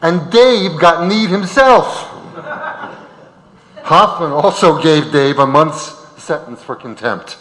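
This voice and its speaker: Male stage narrator, slightly high forceful voice, reverberant hall. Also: takes it slowly, slight background noise. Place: large auditorium. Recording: reverberant auditorium.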